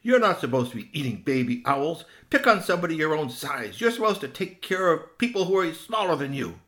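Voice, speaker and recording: A man's voice: fairly high pitch, big pitch swings, a little fast, a bit bright, voice pushed up. A man playing a stern human protector of small creatures in a children's story, blunt and scolding.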